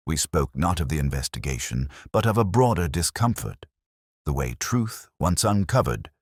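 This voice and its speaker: Male actor as brooding older detective for audio drama narration; gravely low register with expressive swings, ruminative and measured. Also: no room sound, studio quality, full character voice. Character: ageing, reflective, melancholy English police detective. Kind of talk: literary first-person detective monologue.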